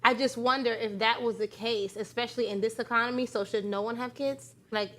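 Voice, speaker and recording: A woman hosts a panel talk show in a fairly high voice. She is conversational and folksy, and leans on her points with emphasis. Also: fairly even intonation, brisk, a bit bright. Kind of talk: lively panel discussion.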